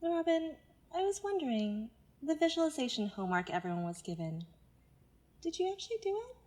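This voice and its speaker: An actress playing a patronizing self-help mentor who presses with controlling, condescending insistence in an audio drama. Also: female voice, high-pitched, very animated, brisk, darkish tone. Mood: probing, doubtful. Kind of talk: naturalistic audio drama acting.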